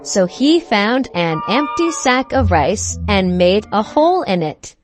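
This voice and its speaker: A woman reading scripted fables for children in a measured, expressive storybook manner. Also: mid-range voice, faint music behind.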